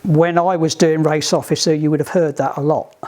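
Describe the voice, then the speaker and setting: A man giving informal, practical instruction to a group, halting and often restarting his phrases. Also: on the high side, fairly even intonation, darkish tone. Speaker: instructor at a sailing club radio session. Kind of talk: informal instructional talk with questions.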